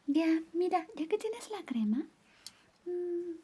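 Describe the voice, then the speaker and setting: A woman with a high, mellow voice plays a doting, playfully jealous best friend in an ASMR roleplay. She speaks slowly and softly, slipping in and out of whispers. Her manner is coy and teasing, with little murmured interjections. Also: lively intonation, slight background noise. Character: affectionate, teasing, jealous young female best friend in love with the listener. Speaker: ASMR performer. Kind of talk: soft-spoken ASMR roleplay with personal attention, partly whispered.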